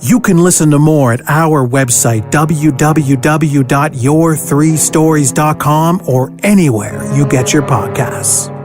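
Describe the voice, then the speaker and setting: A man with a somewhat high voice delivers scripted promo narration as a podcast host. Music plays clearly behind the voice. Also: unhurried, hardly any pauses.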